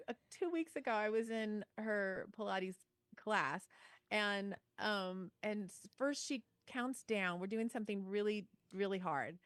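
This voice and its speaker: A woman's voice: medium pitch, no room sound. A woman podcast co-host and fitness instructor telling stories in a casual, dramatic, self-deprecating way. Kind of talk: casual animated storytelling chat.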